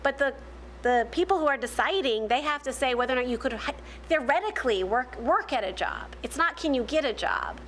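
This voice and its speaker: A woman's voice: sits high, lively intonation, brisk, fairly bright tone, slight background noise. A woman on a discussion panel, explaining a policy problem at length with frequent restarts and fillers.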